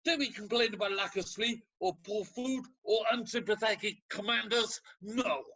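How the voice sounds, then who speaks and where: A man playing a gruff, macho Spartan ex-soldier turned counsellor in a radio play, husky, blunt and sardonic but secretly soft. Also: high-pitched, normal speed, full character voice, poor audio. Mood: indignant, proud.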